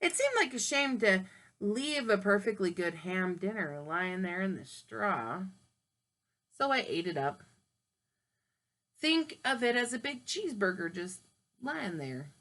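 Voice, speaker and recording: A woman's voice: mid-range voice, big pitch swings, unhurried, long pauses, fairly bright tone. A woman voicing a male wolf who narrates his own version of a storybook in a chatty, persuasive way. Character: smooth-talking male wolf telling his side.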